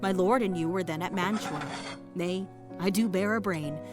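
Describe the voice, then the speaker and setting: An actress playing a garrulous, bawdy, fond older nurse, rambling theatrically in a Shakespearean audio play. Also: female voice, lowish voice, moderate pace, music in the background, lightly acted. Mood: rambling, amused. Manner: rambling, theatrical, chuckling storytelling.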